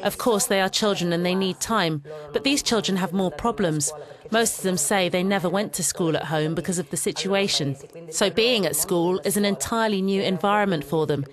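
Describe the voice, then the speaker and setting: A woman's voice: medium pitch, moderate pace, a crowd in the background. Woman voicing a translated interview. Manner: calm, plain delivery. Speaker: dubbing interpreter voice-over. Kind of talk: translated voice-over.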